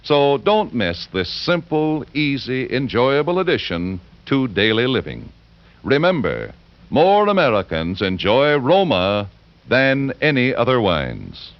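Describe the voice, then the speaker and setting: A man's voice: mid-range voice, huge pitch swings, unhurried. A man reading scripted 1940s radio advertising as a polished, emphatic commercial announcer. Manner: polished, emphatic salesman delivery. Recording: dull 1940s radio broadcast.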